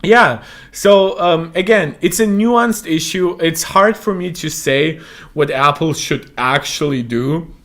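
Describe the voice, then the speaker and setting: A man's voice: a little high, slow pace, a bit bright. Chatty young man. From Moldova. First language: Russian. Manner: rambling, many fillers and self-corrections. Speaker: tech channel host. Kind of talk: casual commentary monologue.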